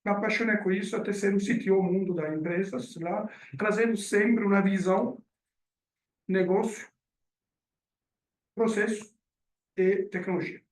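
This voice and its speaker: A man's voice: sits high, fairly even intonation, moderate pace, pauses often. Italian-accented man presenting in an echoey room. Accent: Italian and French. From Italy. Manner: hesitant, with many fillers and restarts. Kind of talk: business presentation. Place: meeting room. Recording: roomy sound from a distant mic.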